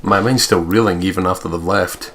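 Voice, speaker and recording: A man's voice: low-pitched, a little fast, a bit bright, slight background noise. A man playing through a game, reading its text aloud and adding sweary, jokey commentary. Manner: sweary, jokey, giggly, puts on mock quotes. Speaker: let's play commentator.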